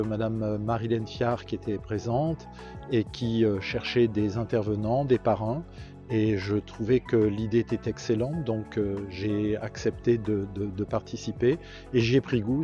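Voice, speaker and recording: Mellow man in his seventies. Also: fairly low pitch. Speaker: retired volunteer mentor. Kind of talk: interview testimonial. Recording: slightly dull sound, music behind. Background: music.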